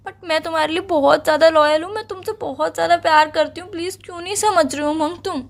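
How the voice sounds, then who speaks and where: A woman's voice: extremely high pitch, fairly even intonation, fast, creaky. A woman playing a defensive young girlfriend accused of cheating in a dramatized breakup phone call, earnestly and insistently pleading to be believed.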